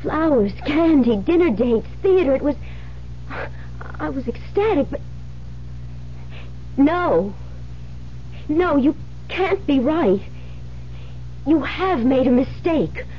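Newlywed bride, high voice, halting and disbelieving, old radio drama, muffled hissy recording. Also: female voice, expressive. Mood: shaken, disbelieving.

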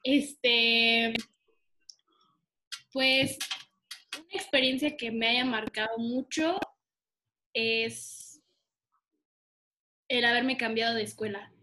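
A woman's voice: fairly high pitch, steady tone, very slow, pauses often, sharp tone, rough recording. A young woman from Mexico co-hosting a casual podcast, giggly and hesitant with many fillers, over a remote call. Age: young adult. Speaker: podcast co-host. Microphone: remote call recording.

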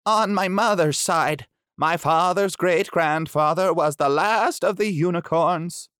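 A man voicing a shy, polite two-headed deer-like animal in a storybook reading, speaking slowly and gently. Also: high voice, clear, resonant tone, no echo, studio quality, pitched above the performer's own voice. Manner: timid, slow and gentle.

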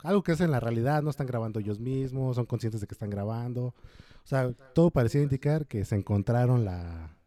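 A man talks in a very dark, mellow voice, unhurried and relaxed. He co-hosts a casual podcast, explains each point at length and fills the gaps with easy filler words. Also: medium pitch. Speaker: podcast co-host. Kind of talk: casual podcast chat about horror films.

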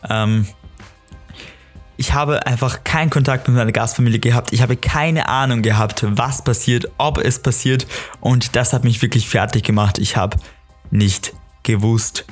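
A teenage man with an Austrian accent chats casually on a solo podcast, telling stories in an emphatic, rambling, excitable way, with music behind him. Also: mid-range voice, normal speed. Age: teens, exchange student. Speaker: podcast host. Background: clearly audible music.

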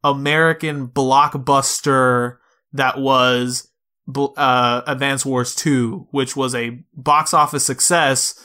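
A man with a bright, forceful voice co-hosts a video game podcast. He speaks informally, in a casual conversational style, at a middling pitch. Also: fairly even intonation, takes it slowly. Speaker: podcast co-host.

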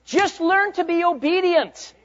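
A middle-aged male pastor preaching a sermon, swinging from loud urging to hushed, quiet asides. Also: very high-pitched, measured pace. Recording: dull lo-fi sound.

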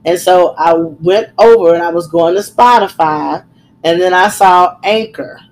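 A middle-aged woman hosting a podcast, talking in a chatty, excitable way with frequent ums, her voice congested from a cold. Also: lowish voice, a little slow, rough recording. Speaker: podcast and radio host. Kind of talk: casual podcast chat.

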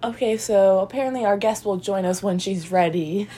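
A woman's voice: medium pitch, moderate pace, warm tone, slight background noise. A young woman co-hosting a casual chat, sounding stuffy and congested and rambling with giggles and fillers. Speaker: podcast co-host.

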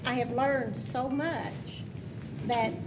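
Woman in a class, higher voice, earnest and kinda amazed, noisy room. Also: steady tone, takes it slowly. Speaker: class member. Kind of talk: sharing in a class.

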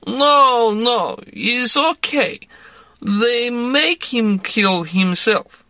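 A man reading an audiobook voices a drunk young Russian actress with a Russian accent, speaking slow broken English in a dramatic, moody way. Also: very high-pitched, expressive, sharp tone, no echo, voice pushed up, exaggerated voice. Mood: bitter. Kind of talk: audiobook character voice.

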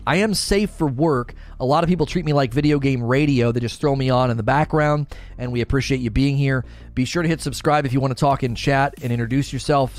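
A man hosting a casual livestream talk show, chatting and answering viewers with rambling enthusiasm and frequent repeats. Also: mid-range voice. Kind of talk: casual livestream chat reading viewer comments.

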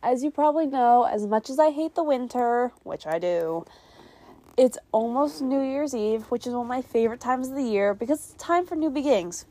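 A woman's voice: on the high side, expressive, moderate pace, warm tone, dry recording. Stuffy-nosed young woman rambling cheerfully. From New England, US. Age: young adult. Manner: rambling, chatty, self-mocking. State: stuffy with a cold. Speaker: podcast host. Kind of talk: casual solo podcast chat.

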